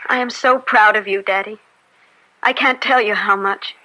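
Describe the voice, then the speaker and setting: An actress playing a judge's young daughter in an old-time radio drama, earnest, tender and devoted. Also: female voice, mid-range voice, steady tone, normal speed, pushed and bright, lightly acted, rough recording. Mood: proud, earnest.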